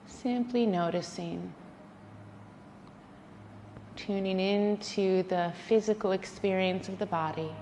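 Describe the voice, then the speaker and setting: A woman leading a guided yoga nidra relaxation, speaking in a soothing, gentle way with unhurried pauses. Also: mid-range voice. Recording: long reverberant hall, faint background noise.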